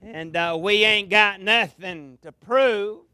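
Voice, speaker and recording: Southern preacher man at a revival, high gravelly voice, dry close sound, drawls it out. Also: pushed and bright, dry recording. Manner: folksy, drawn-out preaching cadence. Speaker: pastor, guest preacher. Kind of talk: revival sermon. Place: large tabernacle revival meeting. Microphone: amplified mic at a pulpit.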